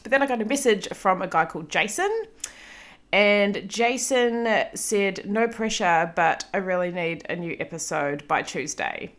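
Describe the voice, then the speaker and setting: A woman's voice: medium pitch, unhurried. A woman in her thirties with a New Zealand accent, hosting a solo podcast episode in a chatty, self-deprecating way with frequent ums and small laughs. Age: 30s. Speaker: podcast host.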